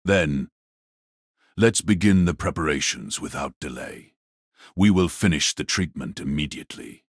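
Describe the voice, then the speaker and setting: A very deep, husky evil old magus. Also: male voice, no echo, studio quality, full character voice. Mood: condescending, calculating. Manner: slow, sinister, condescending. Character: wicked old male magus patriarch, cold, mocking, gloating. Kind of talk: dramatised audiobook acting.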